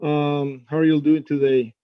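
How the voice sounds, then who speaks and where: A man's voice: fairly high pitch, flat delivery, measured pace, clean tone, no echo. A young man calling into a live show to ask an earnest, rambling question about his plans. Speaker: caller. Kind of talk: asking a question on a video call. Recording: tinny, phone-like line.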